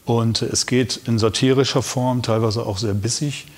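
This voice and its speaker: A man's voice: medium pitch, fairly even intonation, normal speed. A man in his fifties, a guest author in a studio interview, talking in a plain, matter-of-fact way.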